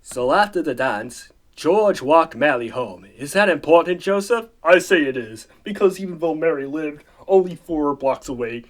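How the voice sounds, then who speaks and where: A man's voice: fairly high pitch, lively intonation, moderate pace, few pauses, close to an everyday voice. A man playing a folksy storyteller narrator in a radio play, with emphatic delivery. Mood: wry. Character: folksy male storyteller narrating to a heavenly listener.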